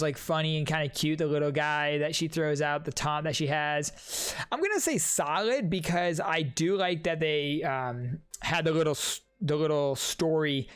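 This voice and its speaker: A man's voice: a little high, clean tone. A young man reacting to game trailers as a content creator, talking in a casual, rambling, chatty way. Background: game trailer music.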